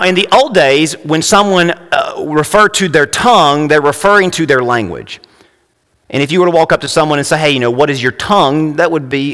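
A man's voice: medium pitch, fairly bright tone. A man preaching a conversational sermon, his voice rising with emphasis when he reads scripture. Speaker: pastor. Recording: long hall reverb.

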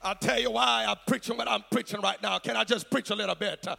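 A man preaching a fervent revival sermon in a hoarse, gravelly shouting voice, chanting in rhythmic phrases punctuated by gasped "uh"s and urging listeners to answer. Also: high-pitched, steady tone, quick pace, some chatter behind. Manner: impassioned call-and-response exhortation.

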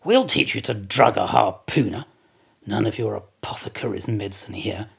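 Blustering, gravelly sailor, acted by a male reader. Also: lowish voice, expressive, moderate pace, exaggerated voice. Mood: blustering, scolding. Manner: mocking, theatrical, emphatic. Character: blustering, sarcastic, indignant whaling ship's second mate. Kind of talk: dramatised classic novel reading.

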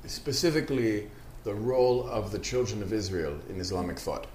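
A man's voice: fairly low pitch, animated, normal speed, a little mellow, a little hiss or hum. A man hosting an interview, asking questions and affirming the guest with short interjections. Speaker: interviewer, magazine host. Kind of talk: hosted interview. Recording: roomy echo with music underneath.

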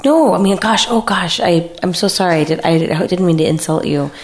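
A woman with an American accent playing a lonely, clingy vampire in improvised radio theater, halting and earnest. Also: lowish voice, natural voice. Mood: apologetic, clingy. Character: lonely, clingy, apologetic sun-shunning vampire.

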